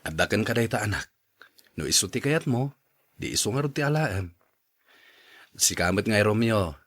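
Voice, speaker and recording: A man's voice: lowish voice, expressive, moderate pace. A man playing a supportive, plain-spoken middle-aged father in a radio drama. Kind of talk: Ilocano radio drama acting.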